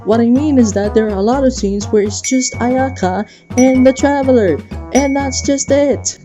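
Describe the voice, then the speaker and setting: A woman giving casual, playful and enthusiastic fan commentary on a video game. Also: a little high. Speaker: video creator hosting a game discussion. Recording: music behind, slightly dull sound.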